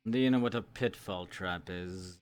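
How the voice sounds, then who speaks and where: A formal leader of a monster hunt in a roleplay game, played by a man. His voice is low and smooth, and he chooses his words with courteous, measured pauses. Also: natural voice. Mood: measured, scheming. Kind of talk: improvised roleplay in a virtual-world game.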